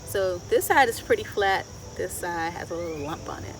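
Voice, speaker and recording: A woman in her thirties presenting to young children outdoors, asking them questions in an expressive sing-song way. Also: fairly low pitch, moderate pace. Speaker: children's story time host and garden educator. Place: outdoors in a wooded garden. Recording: clear outdoor background noise, insects chirring. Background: insects and outdoor ambience.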